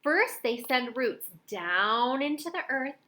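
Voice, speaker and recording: Higher-pitched woman reading to children. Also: slow. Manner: expressive, with dramatic emphasis. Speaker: storybook reader. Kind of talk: children's picture book read-aloud.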